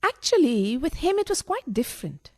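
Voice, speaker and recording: High-voiced, dramatic mistress. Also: female voice, sing-song, normal speed, a little mellow. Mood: coy, teasing. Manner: dramatic, teasing and scolding. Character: coquettish, sharp-tongued, easily panicked mistress. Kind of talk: farcical radio play acting.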